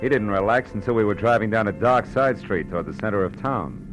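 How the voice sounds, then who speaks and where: A tough, wry American private eye played by a man from a vintage radio play. His voice is deep and dryly sardonic, in clipped hardboiled style. Music plays clearly behind him. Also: animated, fairly quick, natural voice, rough recording. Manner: dry, sardonic. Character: wry, tough, wisecracking male private detective. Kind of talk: hardboiled 1940s radio narration and dialogue.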